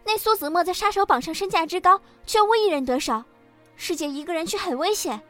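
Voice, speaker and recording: A woman's voice: extremely high pitch, brisk, a bit bright, dry recording, faint music behind. A woman acting a sweet, protective junior sister assassin, girlish and wheedling, in a Chinese costume audio drama. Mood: protesting.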